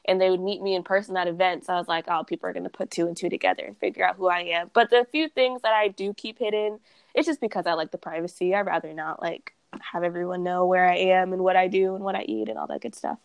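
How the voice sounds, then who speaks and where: A woman's voice: lowish voice, steady tone, quick pace, gravelly, smooth, dry recording. A young woman from Texas, a guest on a podcast, chatting casually about her brand, laughing often and saying "like" a lot. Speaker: guest, cannabis brand founder.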